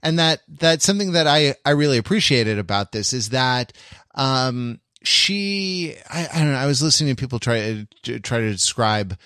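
A man with a mid-pitched voice, recorded dry with very little room sound. He talks casually as a podcast co-host, reflecting aloud in a halting, tentative way and often restarting as he gropes toward his point. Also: animated, sharp tone, smooth, no room sound. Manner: halting, stammering, tentative as he works out ideas. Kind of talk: casual reflective pop culture discussion.

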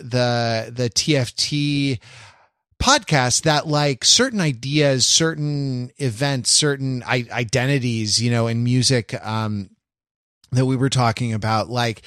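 A man co-hosting a podcast in casual intellectual discussion, haltingly searching for precise words. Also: medium pitch, fairly bright tone, dry recording, studio quality. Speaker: podcast co-host.

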